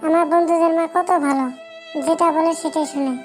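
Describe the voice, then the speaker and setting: Woman playing a high-pitched cheeky cartoon schoolboy. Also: steady tone, fairly quick, faint music behind. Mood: sulky. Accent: eastern Bengali. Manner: pleading, argumentative, cheeky. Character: cheeky, whining schoolboy forever making excuses. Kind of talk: comic cartoon dialogue.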